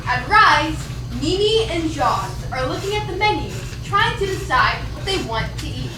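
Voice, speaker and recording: A woman's voice: high voice, big pitch swings, normal speed. A woman reading scripted stage directions aloud in a small theatre. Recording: echoey theatre, noisy, far from mic.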